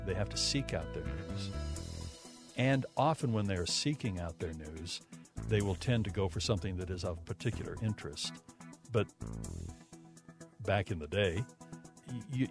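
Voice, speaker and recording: Older American man reminiscing, low voice over music. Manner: conversational, halting with repeated words. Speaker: radio news veteran interviewee. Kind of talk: reflective interview reminiscence. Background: music under the voice.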